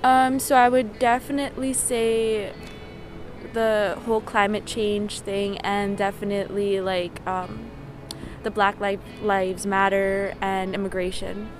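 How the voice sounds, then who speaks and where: Female student, twenty, even-toned and casual, clear music behind. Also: mid-range voice, takes it slowly. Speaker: university student being interviewed. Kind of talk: casual interview answer.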